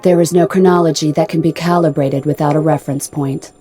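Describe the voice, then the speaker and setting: A woman playing a polite, literal-minded spaceship computer in an audio drama, speaking in a formal, measured, impassive way. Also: deep voice, steady tone, over music, lightly acted. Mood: literal, detached. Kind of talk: radio drama dialogue.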